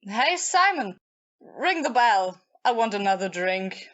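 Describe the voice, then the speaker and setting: A woman's voice: mid-range voice, big pitch swings, slow, a bit bright, lo-fi sound. A woman in an audio play acting a bitter, drunken and volatile jilted young woman with a taunting manner.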